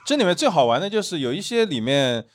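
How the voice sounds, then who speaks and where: A man in his thirties or forties, a former editor, explaining his views in a measured way on a casual podcast. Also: on the high side, very animated. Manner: measured, explanatory, lists examples with "对吧".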